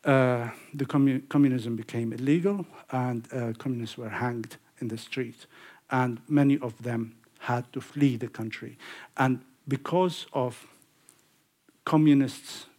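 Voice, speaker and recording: Guy giving a talk in english, husky voice, mid pitch, chatty with lots of uhs. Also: a little slow, darkish tone. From Iraq. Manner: conversational, with frequent fillers and restarts. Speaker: lecturer. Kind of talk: public lecture. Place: lecture hall.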